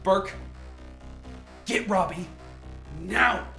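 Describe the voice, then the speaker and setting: A man's voice: high voice, drawn-out words, creaky, bright, forceful tone, full character voice. In a roomy, echoing space with music underneath, a man plays a commanding scientist at the head of a research team. He is urgent and used to giving orders, in the manner of a radio play. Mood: alarmed. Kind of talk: radio drama acting.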